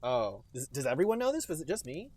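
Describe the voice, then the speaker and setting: Animated man riffing casually. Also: medium pitch, fast talker, no echo. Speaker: podcast co-host. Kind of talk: casual comic commentary.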